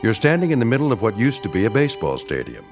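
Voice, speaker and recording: A wry American storyteller delivers theatrical radio narration for an eerie tale. His lowish voice moves dramatically up and down over clear music. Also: huge pitch swings, fast. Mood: wry, ominous.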